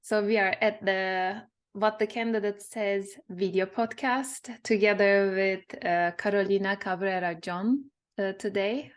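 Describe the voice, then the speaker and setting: A woman's voice: mid-range voice, fairly even intonation, a little slow, few pauses, clean tone. Woman hosting a podcast interview. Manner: friendly, with hesitation fillers. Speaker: podcast host.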